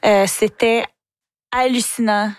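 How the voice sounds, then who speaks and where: A woman's voice: on the high side, fairly even intonation, clear, resonant tone, no room sound, clean studio sound. A young woman with a Québécois accent, talking eagerly in an interview and often tripping over and repeating her words. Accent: Québécois French. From Quebec. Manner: enthusiastic, quick, stumbles and repeats words. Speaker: singer and TV host guest. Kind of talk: casual interview talk.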